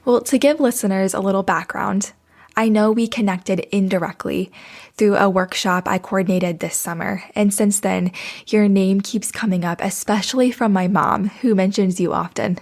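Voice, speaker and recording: A woman's voice: mid-range voice, fairly even intonation, normal speed, darkish tone, soft background music. A woman hosting a podcast, opening with a scripted introduction and then interviewing her guest in an encouraging way. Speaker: podcast host.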